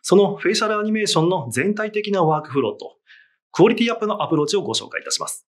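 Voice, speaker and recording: A man's voice: high-pitched, expressive, rapid-fire, husky, no echo, studio quality. A man presenting as a lead animator, reading a scripted technical explanation in a formal, polite manner.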